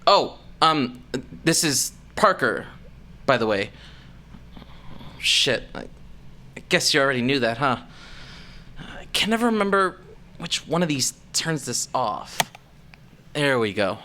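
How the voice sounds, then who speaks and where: Bright, jittery, anxious spaceship pilot, as acted. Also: medium pitch, very animated, slow pace, pauses often, heavily acted. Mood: nervous, chatty. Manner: nervous, rambling, sarcastic. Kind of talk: scripted audio drama acting.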